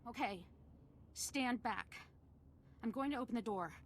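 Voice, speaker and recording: A woman's voice: on the high side, measured pace, heavy background noise, natural voice. A woman playing a wary, level-headed civilian survivor in a video game, reasoning and pleading. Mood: cautious relief. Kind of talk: scripted video game acting.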